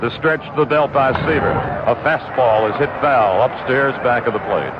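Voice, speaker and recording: A man calling live baseball play-by-play for radio, with a steady, measured delivery full of statistics. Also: mid-range voice. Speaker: play-by-play announcer. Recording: muffled old 1960s radio broadcast with noise.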